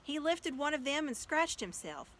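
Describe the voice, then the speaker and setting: A woman reading a children's story aloud as an expressive storyteller. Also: high-pitched, moderate pace.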